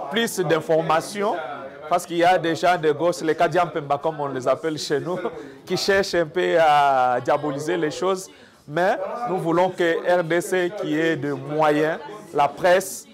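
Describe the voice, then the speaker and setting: Congolese man, slightly high voice, crowd behind. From Democratic Republic of the Congo. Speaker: community association president. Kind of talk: on-camera interview statement to community media.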